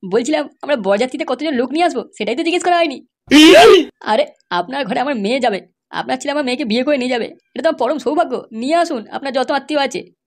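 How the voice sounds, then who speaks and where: Old village dad voiced by a man, unusually high voice, blustering, effusive, eager to please, comedy cartoon. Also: animated, fast talker.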